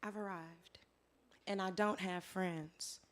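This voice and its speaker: A hoarse woman performing her spoken word poetry live, in a rhythmic rhyming delivery that swings between soft lines and loud declarations. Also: mid-range voice, steady tone, slow pace. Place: live venue with audience.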